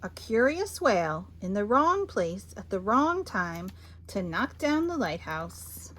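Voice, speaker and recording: Lady doing kids bedtime story read-aloud, bright voice, really theatrical, takes her time, bit of background noise. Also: medium pitch. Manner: theatrical, expressive, with dramatic pauses and emphasis. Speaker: library storyteller reading picture books aloud.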